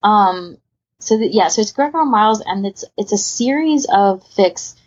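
A woman's voice: medium pitch, animated, unhurried, a little mellow, slightly rough. A woman co-hosting a podcast, chatting casually in a rambling, conversational way full of asides. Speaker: podcast co-host. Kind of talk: casual podcast chat, recommending audio stories. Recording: muffled, lo-fi voice recording.

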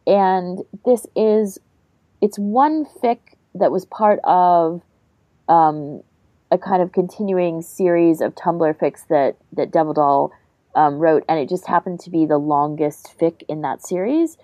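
Mellow, lowish woman, close dry sound. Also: a little slow, no echo. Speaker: podcast co-host. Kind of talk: casual chat.

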